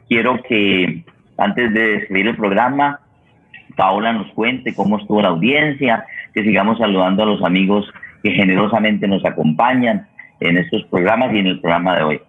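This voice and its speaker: A Colombian man hosting a radio programme in a semi-formal, wordy way, deliberate and halting, often repeating himself. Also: lowish voice, slightly rough. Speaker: radio host and theatre director. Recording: tinny phone-like line.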